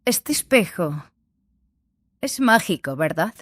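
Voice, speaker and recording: A woman playing an impulsive, curious young apprentice in a fantasy audiobook, excitable and full of questions. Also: fairly low pitch, slow, no room sound, heavily acted. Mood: wondering. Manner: excitable, inquisitive.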